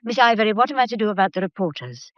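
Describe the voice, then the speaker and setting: An actress playing an anxious, discreet English secretary in a radio mystery drama, hesitant, flustered and confiding. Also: female voice, medium pitch, animated, very fast, no echo, natural voice. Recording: dull, muffled lo-fi audio.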